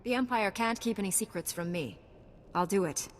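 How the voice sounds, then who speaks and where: An actress playing a righteous young warrior knight in a video game, composed, firm and formal. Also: female voice, medium pitch, lively intonation, normal speed, a little hiss or hum, natural voice. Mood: resolute. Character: resolute, righteous young female Jedi knight. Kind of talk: scripted video game cutscene acting.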